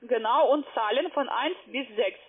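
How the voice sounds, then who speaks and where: A woman's voice: fairly high pitch, normal speed, breathy edge. A woman working as a call-center agent on a sales call, speaking broken German with non-native phrasing, insistent and reassuring, often repeating that she agrees. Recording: tinny phone line.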